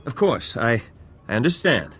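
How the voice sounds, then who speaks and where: A man acting a lovesick, nervous gambler in a video game, halting and earnest. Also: mid-range voice, very animated, a bit bright, husky, soft background music, exaggerated voice. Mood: nervous delight. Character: lovesick, nervous male gambler, clingy and pleading.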